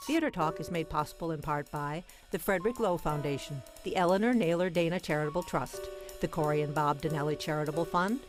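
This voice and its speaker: A woman co-host reading scripted show credits in an announcing style. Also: low voice. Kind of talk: scripted sponsor credits read-out. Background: music with drums.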